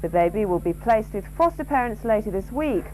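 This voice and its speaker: A woman reads a regional news bulletin from a script. Her voice sits at an average pitch, with faint noise and hum from an old television broadcast. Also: animated, a little fast, darkish tone, low-quality recording. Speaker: regional newsreader.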